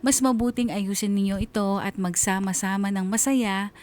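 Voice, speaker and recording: A woman's voice: medium pitch, steady tone, normal speed, darkish tone. A woman giving scripted, first-person narration for a radio drama in a sorrowful, reflective manner. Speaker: radio drama narrator reading a listener's letter.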